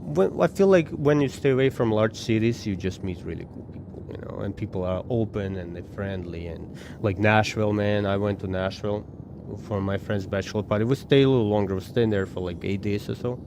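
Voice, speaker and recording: A man's voice: fairly low pitch, a little fast. A man with an Armenian accent streaming a space game, chatting casually with his viewers and often repeating his words. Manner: rambling, repeats words, talks to chat. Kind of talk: casual live-stream chat while gaming. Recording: game noise behind the voice. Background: game sounds.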